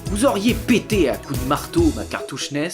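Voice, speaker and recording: Male reviewer, higher-pitched voice, mocking, sarcastic, exasperated, crude slang, faint background music. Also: very animated, moderate pace. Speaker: video reviewer and host. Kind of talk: sarcastic cartoon review commentary.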